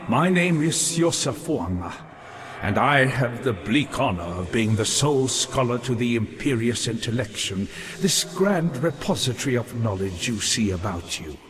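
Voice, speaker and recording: An actor playing a courteous, lonely undead scholar in a fantasy video game, speaking in a formal, ornate, halting and earnest way. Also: male voice, mid-range voice, very animated, lightly acted. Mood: solemn introduction. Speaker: non-player character in a fantasy video game. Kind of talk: theatrical video game character acting. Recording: long reverberant hall, background hiss and ambience.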